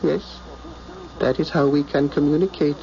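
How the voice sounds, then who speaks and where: A male radio actor playing a wounded, power-hungry alien pilot in a halting, intense, theatrical way. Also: on the high side, fairly even intonation, creaky, mellow. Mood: haughty, pained. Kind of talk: radio drama acting. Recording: dull, noisy old radio broadcast recording.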